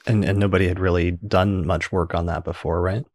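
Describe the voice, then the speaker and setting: Podcast host, male; deep, even voice, probing clarifying questions, dry close sound. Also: fairly quick, no echo. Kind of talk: casual interview.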